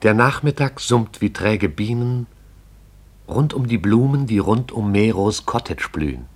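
A man narrates a radio play in a measured, poetic way. His voice sits fairly low. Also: animated.

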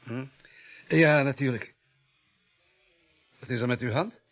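A man's voice: medium pitch, takes it slowly, fairly bright tone, faint music behind. A man acting in a radio drama as a matter-of-fact police pathologist with a precise, analytical manner. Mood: clinical, assured. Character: matter-of-fact male police pathologist, coolly reconstructing murders.

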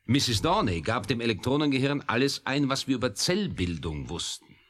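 An actor playing a torn, reasonable professor in a German radio play, speaking in a measured, questioning way. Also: male voice, medium pitch, very animated, fairly bright tone. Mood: earnest reporting. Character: hesitant, torn male professor, reasonable mediator.

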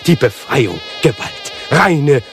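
An actor playing a pompous male artist in a radio play, declaiming dramatically with drawn-out pauses. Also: mid-range voice, big pitch swings. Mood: dramatic suspense. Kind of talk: theatrical radio play monologue.

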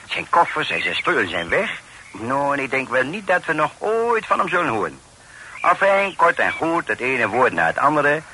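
Lively, folksy country tradesman. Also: fairly high pitch, heavily acted. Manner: folksy, rambling, stammering. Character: talkative, deferential country tradesman, rambling and hesitant. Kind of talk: Dutch period radio drama acting. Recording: faintly noisy old radio play recording.

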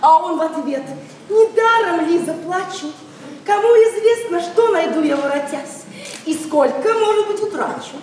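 An actress playing a lively, pert, teasing young maid in classical verse drama, with theatrical singsong delivery. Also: female voice, very high voice, moderate pace, a little hiss or hum. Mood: teasing, sentimental. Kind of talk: classical verse stage acting. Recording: very echoey, distant, faint music behind.

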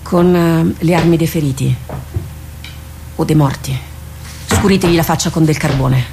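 An actress playing a proud, weary warrior captain in a radio drama, speaking in a measured, declamatory way. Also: female voice, deep voice, vocal fry, background noise. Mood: commanding. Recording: music under the voice.

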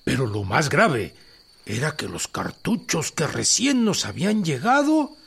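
An actor with a Mexican accent playing a feisty, folksy old revolutionary veteran with a raspy, creaky voice in a radio drama. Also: male voice, on the high side, very animated, measured pace, fairly bright tone, full character voice. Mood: grumbling.

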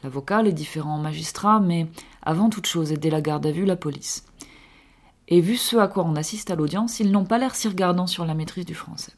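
A woman reading a scripted radio column, measured and explanatory. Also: fairly low pitch.

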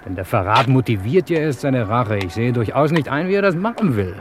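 Actor as a scheming gangster's sidekick, noisy vintage recording. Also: male voice, medium pitch, sing-song, fairly quick, close to an everyday voice. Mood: nervous musing. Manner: theatrical, chuckling, musing aloud. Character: nervy gangster's accomplice, male, flattering, scheming, tempted. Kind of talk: old German radio crime drama acting.

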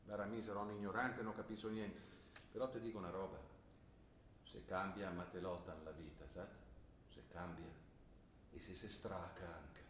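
A man's voice: sits low, steady tone, moderate pace, pauses often, fairly bright tone, recorded from a distance, a little hiss or hum. An actor playing a skeptical older father, a plain-spoken shopkeeper questioning his daughter. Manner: earnest, probing, plain-spoken. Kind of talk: stage play acting. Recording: roomy stage recording, voice from afar.

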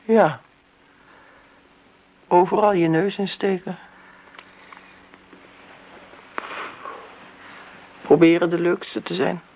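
An actress playing a wounded, sardonic grown daughter in a radio drama, speaking pointedly. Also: female voice, fairly low pitch, creaky, husky, exaggerated voice. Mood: accusing. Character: reproachful, wounded grown daughter defending her mother. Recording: thin, phone-like old radio recording.

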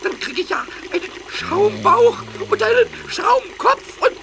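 An actor playing a cheeky, stubborn little kobold in a children's radio play, childlike and boastful, whiny and excitable, repeating words for emphasis. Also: male voice, very high-pitched, a little slow, vocal fry, exaggerated voice. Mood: whiny, panicked. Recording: noisy 1970s radio play recording.

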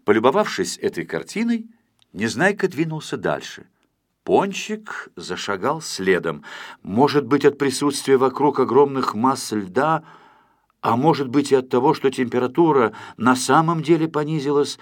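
A man narrating a children's story as a dramatized audiobook, in an expressive, dramatic way. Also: medium pitch, moderate pace. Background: music.

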